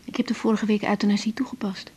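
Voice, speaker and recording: An actress playing an earnest, troubled young female doctor who speaks haltingly in a husky voice, in a naturalistic radio drama. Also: medium pitch, little pitch movement, a little mellow. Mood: troubled, defensive. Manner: halting, searching for words.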